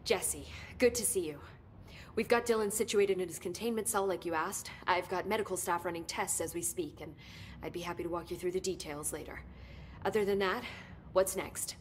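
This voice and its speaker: An actress plays a sharp-witted woman scientist in a story-driven game. Her voice sits a little low and she talks in articulate, clinical terms with real earnestness. There is faint rumbling noise behind her. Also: normal speed, natural voice. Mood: businesslike. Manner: articulate, clinical wording, emphatic when stirred. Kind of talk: naturalistic video game acting.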